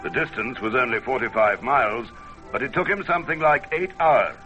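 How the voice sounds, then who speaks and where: A man giving a solemn, theatrical, measured scripted narration for a radio documentary. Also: medium pitch, sharp tone, lo-fi sound. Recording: band-limited vintage radio recording, music behind. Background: music.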